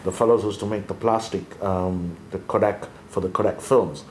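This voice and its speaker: A man's voice: sits low, steady tone, normal speed, echoey room, slight background noise. A man from Sri Lanka, a former accountant, talking through business ideas in a thoughtful way and often restarting his sentences. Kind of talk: conversational leadership discussion.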